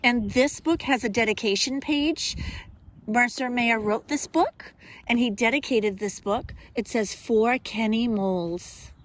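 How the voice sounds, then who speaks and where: A woman kindergarten teacher speaking simply and expressively to young children, in a deliberate storytime manner. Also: on the high side. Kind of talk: children's storybook read-aloud and simple instruction.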